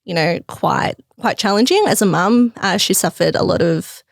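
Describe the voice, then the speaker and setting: A young Australian woman, whose first language was Greek, chats casually as a podcast guest. Her voice has a noticeable fry, recorded close and dry. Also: on the low side, normal speed, dry recording. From Australia, Melbourne, with early childhood in Greece. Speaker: podcast guest, fashion label founder. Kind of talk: casual interview chat.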